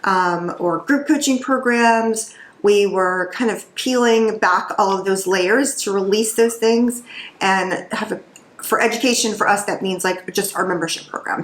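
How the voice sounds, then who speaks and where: A woman co-hosting a travel vlog in casual chat, a little nasal from a head cold, talking in a loose, animated way. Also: medium pitch, echoey room, low-quality recording. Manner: chatty, animated, loose and conversational. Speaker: vlog co-host.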